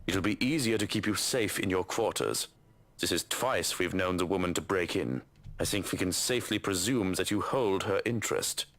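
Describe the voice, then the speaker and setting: A man voicing a stern, protective butler in a game story, speaking formally and with measured firmness. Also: deep voice, a bit bright. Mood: dry, scolding. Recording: music under the voice.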